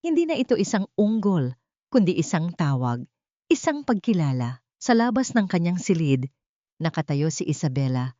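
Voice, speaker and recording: A woman's voice: fairly low pitch, very animated, moderate pace, dark tone, dry recording, lo-fi sound. A woman narrates a scripted dramatic story in a solemn, theatrical manner. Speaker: audiobook narrator.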